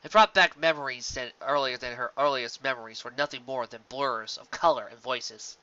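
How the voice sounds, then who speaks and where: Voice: mid-range voice, normal speed, bright, forceful tone. A reader narrating a scripted fan fiction story. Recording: slightly dull, lo-fi recording.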